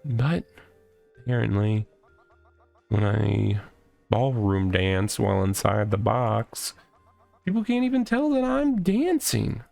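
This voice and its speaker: Man voicing a slow, rambling cardboard-box mascot guy. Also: lowish voice, sing-song, gravelly, darkish tone, no echo, full character voice. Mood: earnest, wistful. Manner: rambling, halting, earnest and boastful. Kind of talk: comedic character read-aloud of a visual novel. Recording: music behind the voice.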